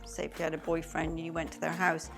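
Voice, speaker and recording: Chatty middle-aged mum telling stories. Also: female voice, low-pitched, monotone, fast, gravelly, music in the background. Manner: warm, chatty storyteller, acts out quotes. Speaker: vlogger's mother, guest. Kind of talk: casual chat while cooking.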